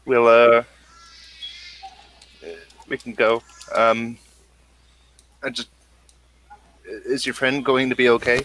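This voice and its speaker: A man's voice: mid-range voice, little pitch movement, takes it slowly, pauses often, fairly bright tone, a little hiss or hum, rough recording. A man voicing a nervous, flustered woman who hesitates and stammers, in a tabletop game. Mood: anxious, reluctant. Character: nervous, flustered young woman newly arrived at her quarters.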